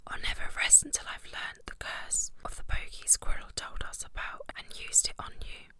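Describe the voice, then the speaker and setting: A woman voicing an impatient, irritable young schoolboy in a curt, breathy whisper for a story reading. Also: normal speed, lightly acted. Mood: irritated. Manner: grumbling, curt.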